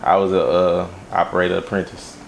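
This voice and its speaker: A man's voice: sits low, flat delivery, a little fast, gravelly, slight background noise. A young man from Los Angeles raised in Baton Rouge, a music video director answering interview questions in a laid-back way with lots of fillers. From Los Angeles, raised in Baton Rouge, Louisiana.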